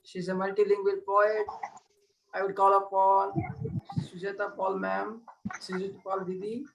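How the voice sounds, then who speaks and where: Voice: medium pitch, fairly even intonation, measured pace, gravelly, background noise. An effusive host, introducing performers at a live poetry event and repeating names and praise.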